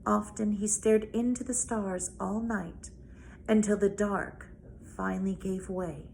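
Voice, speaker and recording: A woman reads a children's novel aloud as a storyteller, with deliberate, dramatic phrasing. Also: mid-range voice, fairly even intonation, creaky, darkish tone. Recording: noticeable background noise.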